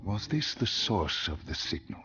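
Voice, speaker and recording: A man playing a stern, probing interrogator in a video game, questioning in a measured way. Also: on the low side, sing-song, bright, forceful tone, dull audio, slight background noise, exaggerated voice.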